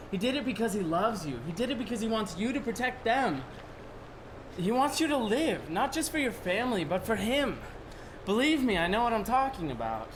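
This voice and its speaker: A man's voice: high voice, background noise. An actor playing a kindly, bumbling male apprentice angel with a hesitant, pleading manner, in 1940s radio style.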